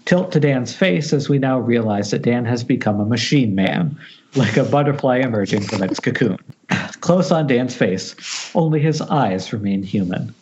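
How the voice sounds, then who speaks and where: A man reads stage directions from a horror script in a medium-pitched voice. He stumbles over phrases and corrects himself as he narrates. The sound is slightly muffled, as from an internet call. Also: expressive, moderate pace, a little mellow, slight background noise. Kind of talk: reading a horror screenplay aloud at amateur table read.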